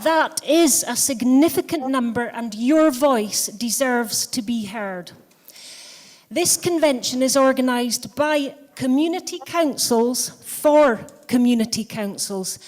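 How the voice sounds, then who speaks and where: A woman with a gentle Scottish Highland accent, chairing a convention and welcoming everyone in a measured public speech. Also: lively intonation, some chatter behind. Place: large hall. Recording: long hall reverb.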